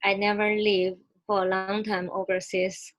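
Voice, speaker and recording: Halting woman from mainland China. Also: medium pitch, flat delivery. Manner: halting, repeats words as she searches for phrasing. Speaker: guest. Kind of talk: reflective conversation.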